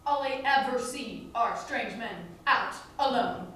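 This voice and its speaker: A woman's voice: fairly high pitch, a little slow, a bit bright, distant mic. A woman playing a shameless, saucy streetwalker in a stage comedy, flippant and full of innuendo. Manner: suggestive, flippant. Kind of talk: musical comedy stage acting. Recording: echoey hall recorded from afar, noisy.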